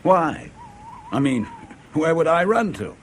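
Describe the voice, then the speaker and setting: A man's voice: fairly high pitch, very animated, slow pace, fairly bright tone, a little hiss or hum, heavily acted. An actor in a radio drama playing a resentful, sardonic man who is being kept under watch. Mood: irritated, sardonic.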